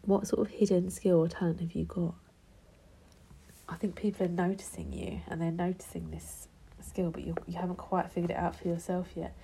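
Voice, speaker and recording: A woman's voice: on the low side, level tone, normal speed, vocal fry, warm tone, slight background noise, rough recording. A woman hosting an unedited tarot podcast, sounding nasal from a blocked nose, chatty and affectionate with listeners, sometimes dropping to a whisper. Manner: chatty, affectionate, calls listeners love and darling; drops to a whisper at times. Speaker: podcast host giving tarot readings. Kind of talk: casual unedited podcast chat. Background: card shuffling.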